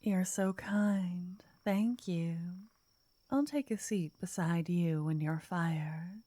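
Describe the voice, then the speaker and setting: A woman playing a gentle, flirty fortune teller in an ASMR roleplay, speaking softly in a breathy half-whisper, coaxing and soothing the listener up close. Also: lowish voice, slow, no room sound. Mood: softly flirtatious. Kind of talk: ASMR roleplay, intimate scripted address to listener. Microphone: close, dry microphone.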